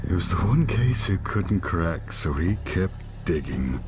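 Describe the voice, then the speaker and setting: A man playing a guilt-ridden corrupt policeman in an audio drama, speaking haltingly and stammering as he confesses. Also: sits low, sing-song. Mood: heavy, guilty confession. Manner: halting, stammering, repeating himself. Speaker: voice actor in a fan-made audio drama.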